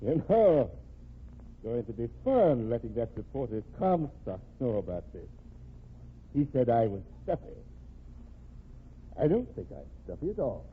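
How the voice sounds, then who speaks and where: An actor playing a shrewd, stern, self-assured state governor, speaking with measured dry authority in an old-time radio crime drama. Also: male voice, mid-range voice, very animated. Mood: smugly satisfied. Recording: noisy, tinny old radio broadcast recording.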